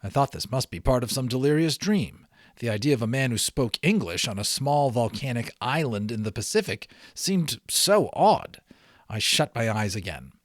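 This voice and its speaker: A man narrating a storybook aloud in an expressive, explanatory way, as an audiobook reader. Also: mid-range voice, normal speed, dry recording, studio quality.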